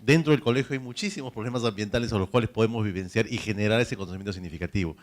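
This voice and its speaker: A man from Peru with a Peruvian accent answering on a panel, brisk, with restarts and examples. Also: fairly low pitch. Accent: Peruvian Spanish.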